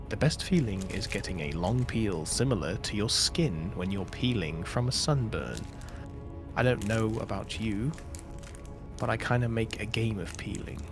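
Tired deep-voiced man reading slowly. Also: gravelly. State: tired, croaky. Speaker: stream co-host. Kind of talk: reading a short story aloud.

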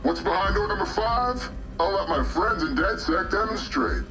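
A movie star turned cult whistleblower in a game cutscene. His pitch swings widely as he delivers a theatrical, emphatic testimonial over prominent music. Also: animated, normal speed, poor audio. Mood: showy, indignant. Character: male movie star, outspoken former cult member. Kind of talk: scripted video testimonial.

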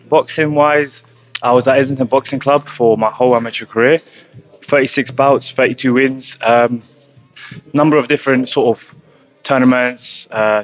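A young man from Croatia, a professional boxer, speaking in a matter-of-fact way with many pauses and ums in an interview at a gym. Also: mid-range voice, lo-fi sound. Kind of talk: casual interview. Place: boxing gym, indoors. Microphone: handheld mic held close.

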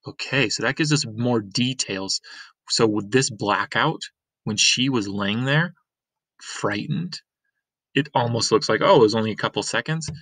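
A man's voice: mid-range voice, measured pace, fairly bright tone, breathy edge, dry recording, rough recording. A middle-aged man reading a graphic novel aloud as a teacher, explaining emphatically and repeating key points. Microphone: webcam screen recording.

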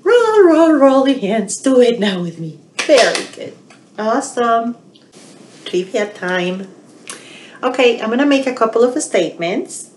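A woman's voice: on the high side, unhurried, slight background noise. A middle-aged woman hosting a storytime for young children, speaking to them directly. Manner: animated, addressing little children directly. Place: small indoor room. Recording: roomy, echoey home webcam sound.

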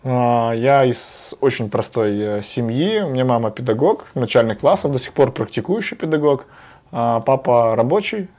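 A man in a mellow, dark voice. He answers interview questions in a measured way, often hesitating with fillers. The voice sits at a middling pitch. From Moldova. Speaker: guest, former local official. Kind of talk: studio interview. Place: TV studio. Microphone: lapel.

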